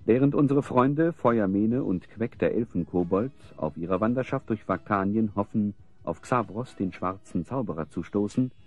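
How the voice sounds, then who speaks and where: A man narrating a fantasy audio play as a scripted storyteller, with deliberate, dramatic delivery. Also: lowish voice, a little mellow, dull audio.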